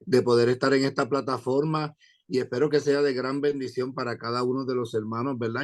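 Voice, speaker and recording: A man from Puerto Rico with a Puerto Rican accent, an evangelist giving his testimony in an interview, pausing often with "eh" and quoting scripture. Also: mid-range voice. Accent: Puerto Rican Spanish. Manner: hesitant, many "eh" fillers, quotes scripture. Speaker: evangelist guest.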